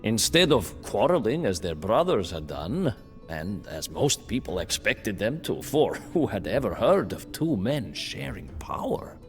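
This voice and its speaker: An actor playing a bitter, proud imprisoned nobleman who recounts his downfall as haughty, theatrical, deliberate narration in a video game. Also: fairly low pitch, big pitch swings. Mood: grave, proud. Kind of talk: dramatic storytelling narration for a strategy game cutscene. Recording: long reverberant hall, music underneath.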